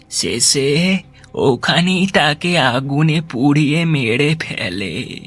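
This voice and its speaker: A man voicing a grave elderly village grandparent in a horror cartoon, giving ominous warnings in a husky, creaky voice. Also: fairly high pitch, a bit bright, exaggerated voice. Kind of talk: animated horror story acting.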